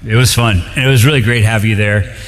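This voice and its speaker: A man from Colombia preaching to a congregation, joking and animated as he leads into the text. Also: on the low side, fast talker. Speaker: pastor. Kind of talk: sermon. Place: church sanctuary. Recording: long hall reverb.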